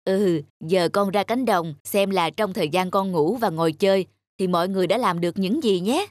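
A woman's voice: medium pitch, normal speed, no echo, close to an everyday voice. A woman voicing a patient, instructive mother rabbit in a children's cartoon. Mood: explaining. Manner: instructive, questioning. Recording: dry studio voice over soft music.

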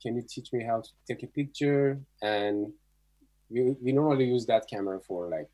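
A middle-aged man with an Iranian accent, a native Persian speaker, tells his story casually in halting English on a video call. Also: medium pitch, warm tone, poor audio. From Iran. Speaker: guest photographer.

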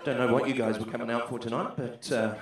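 A man in his thirties with a New Zealand accent doing a brash, sweary stand-up set into a handheld mic, shouting his punchlines. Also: mid-range voice, steady tone, fast talker. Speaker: stand-up comedian. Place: comedy club stage, brick wall. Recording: echoey club room.

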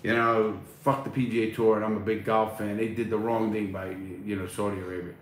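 A man's voice: fairly low pitch, level tone, fairly quick. Middle-aged guy w/ chatting on a phone livestream, blunt and swearing, echoey room w/ faint hum. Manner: loose, blunt, swears casually. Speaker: livestream host reading chat. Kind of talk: casual livestream chat. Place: small room at home, indoors. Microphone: phone at arm's length.

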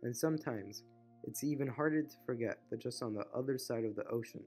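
A man's voice: mid-range voice, fairly quick, darkish tone. A teenage male student narrating a documentary in an earnest, read-aloud voice. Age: teens. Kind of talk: scripted narration. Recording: voice-over over background music.

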